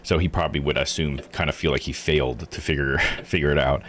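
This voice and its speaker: A man's voice: extremely low pitch, fairly even intonation, fast talker, fairly bright tone. A man giving casual, rambling live commentary on a game, explaining and thinking aloud as he plays. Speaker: streamer playing and recapping a visual novel.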